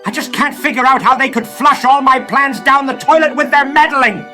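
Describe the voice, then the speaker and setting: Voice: high-pitched, moderate pace, bright, forceful tone, faint music behind. An actor voicing a furious, scornful mad scientist in a cartoon comic dub, ranting with sneering sarcasm. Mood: outraged.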